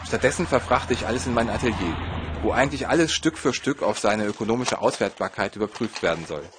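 A man narrating a scripted autobiographical story in the first person. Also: on the low side.

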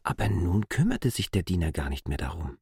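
A man reads literary prose as an expressive storyteller. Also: sits low, fairly quick, breathy edge, no room sound, clean studio sound. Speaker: narrator of a literary classic. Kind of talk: scripted literary narration.

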